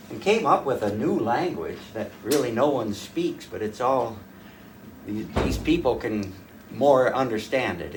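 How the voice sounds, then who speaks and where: Older man telling family stories from afar. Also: mid-range voice. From rural northwest North Dakota. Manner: rambling, folksy, with frequent uh's and restarts. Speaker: folk fiddler giving a talk. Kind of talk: casual storytelling to a small audience. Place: room at a folk fiddlers' gathering. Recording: distant mic, faint room noise.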